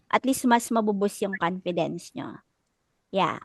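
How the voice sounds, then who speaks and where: A woman's voice: medium pitch, lively intonation, unhurried, creaky, no room sound. A woman with a Filipino accent teaching an online class, encouraging students as she talks and switching between English and Tagalog. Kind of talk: casual lecture coaching students.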